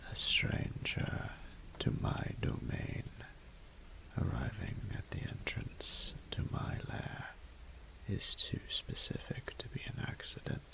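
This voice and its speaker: A man voicing an ancient, haughty and flirtatious male dragon in a roleplay audio drama, speaking in a formal, imperious, deliberate way. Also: bright, forceful tone, whispered. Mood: wary, intrigued. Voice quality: breathy, gravelly low voice.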